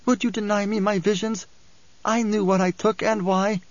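A man voicing an anguished young noblewoman, raised pitch. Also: level tone, normal speed, no echo, highs cut off. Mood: angry, imperious. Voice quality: soft, strained. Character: anguished, imperious young woman seer, drugged and frightened.

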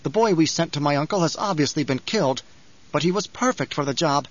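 A man's voice: a little high, expressive, fairly quick. A man voicing a young, scheming heir in an audiobook, deferential and uneasy beneath courtly composure. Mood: anxious. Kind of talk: audiobook character voice. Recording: slightly dull, lo-fi audio.

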